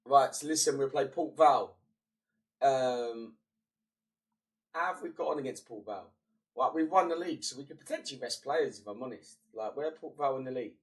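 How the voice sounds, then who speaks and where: Young guy streaming, bunged-up voice, sits back from the mic, mid pitch, chatty and muttery. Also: brisk, far from the mic, rough recording. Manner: chatty and matey, muttering to himself between bursts. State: congested, voice partly gone. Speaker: streamer playing a football management game. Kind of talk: casual gaming commentary.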